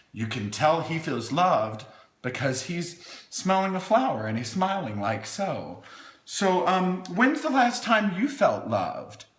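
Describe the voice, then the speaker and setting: A man's voice: mid-range voice, fairly bright tone. A man hosting a playful storytime, reading a children's book with wry, chatty asides in a theatrical way, as if talking to small children. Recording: echoey room.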